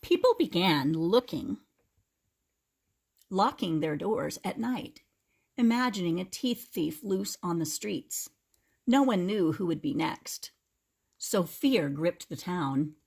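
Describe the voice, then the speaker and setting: A woman reads a children's picture book aloud with dramatic expression. Her voice is husky and swings widely in pitch, and she slips into explanatory asides for young listeners. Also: expressive, takes it slowly, darkish tone. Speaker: teacher reading aloud to children.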